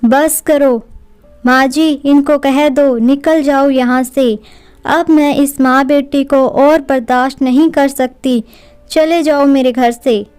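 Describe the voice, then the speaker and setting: A woman plays a resentful young daughter-in-law from a cartoon. The voice is very high and soft-toned, scornful, dragging out each complaint slowly. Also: steady tone, no echo, soft background music. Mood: fed up, angry.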